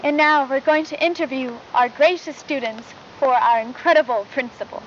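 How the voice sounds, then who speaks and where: A young woman playing a perky, devoted high school news co-host in a 1990s horror broadcast, with bubbly, gushing delivery. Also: moderate pace, close to an everyday voice. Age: young adult. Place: outdoors in a forest at night. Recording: dull lo-fi VHS-style audio, faint noise.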